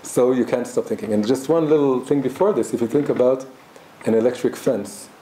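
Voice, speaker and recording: A man teaches in a very dark, mellow voice, speaking haltingly with many restarts as he thinks aloud. The room is noticeably echoey, with a faint hum of background noise. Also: medium pitch. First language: Hebrew. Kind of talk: reflective spoken class.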